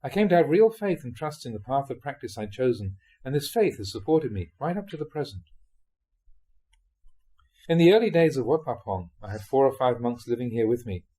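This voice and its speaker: A male monk reads translated Buddhist teachings aloud. His voice is mid-pitched, soft-edged and mellow, with some huskiness. The pitch moves freely, giving the reading an expressive, storytelling shape. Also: fairly quick. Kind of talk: reading translated Dhamma talks aloud, like an audiobook.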